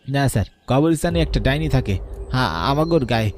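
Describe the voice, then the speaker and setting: A man's voice: mid-range voice, fast, darkish tone, a little hiss or hum, natural voice. A man playing a concerned, superstitious villager in a horror cartoon, earnest and cautioning, with a rural colloquial Bengali accent.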